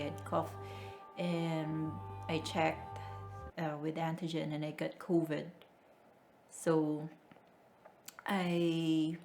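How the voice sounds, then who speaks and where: A woman from the Philippines, recounting her races in a documentary interview, halting with frequent fillers and restarts. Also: sits low. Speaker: amateur marathon runner. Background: music bed under the voice.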